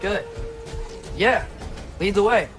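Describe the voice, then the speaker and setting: Teenage son for a game: high voice, earnest, eager, questioning delivery over clear music. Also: male voice, slow pace. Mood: curious, earnest. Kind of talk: video game cutscene acting. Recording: music behind the voice.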